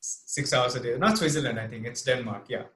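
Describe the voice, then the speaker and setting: A man from India hosting an interview, telling a story in a lively, chatty way. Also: medium pitch, fast talker. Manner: animated storytelling, quoting others. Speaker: interview host. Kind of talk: casual interview chat. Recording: echoey room.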